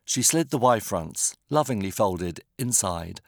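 A British man reading a comic novel as an audiobook narrator, measured and wry. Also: on the low side, animated, creaky, dry recording, studio quality. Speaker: author reading own novel.

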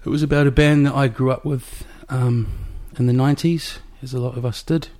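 A man's voice: mid-range voice, flat delivery, fairly quick, darkish tone. A man from New Zealand with a Kiwi accent, hosting a casual interview chat from his small apartment, rambling with many restarts. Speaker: podcast host. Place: small rented studio apartment.

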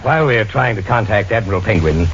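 A man's voice: on the low side, lively intonation, fast talker, a bit bright, some chatter behind. An American man reading scripted 1940s radio announcements, on a muffled, noisy old recording.

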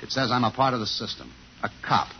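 An actor playing a tough, dogged police detective in a 1950s radio thriller, speaking in clipped, insistent lines. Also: male voice, medium pitch, sing-song, quick pace, slight background noise, lightly acted. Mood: defiant. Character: tough, dogged male police detective defending his wife. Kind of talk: 1950s radio thriller acting, hard-boiled narration. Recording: muffled vintage radio recording with music.

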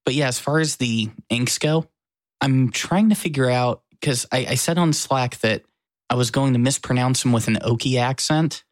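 A man's voice: medium pitch, moderate pace, no room sound. American guy chatting on a podcast, kinda wry, dry sound with no room. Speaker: podcast co-host. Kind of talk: casual chat.

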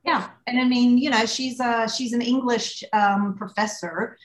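A middle-aged woman with a thick Southern Gulf Coast accent chats as a podcast guest. Her voice is fairly high and friendly, and she laughs often. The recording sounds echoey and roomy. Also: fairly even intonation, unhurried. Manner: warm, laughing, says honey. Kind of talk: casual interview chat.

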